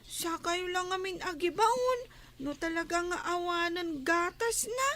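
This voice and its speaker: A woman's voice: very high-pitched, measured pace, fairly bright tone. A woman voicing a pleading, whiny young child with a northern Philippine accent in a comedy radio drama. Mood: whining.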